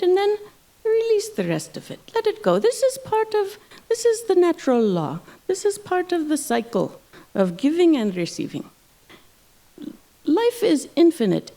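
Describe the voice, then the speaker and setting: A woman's voice: high voice, warm tone, pitched above the performer's own voice, heavily acted. A woman voicing a bear cub who mimics a serene, preachy guru in an exaggerated Indian accent, with a sing-song lilt. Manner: lilting, sing-song, sage-like.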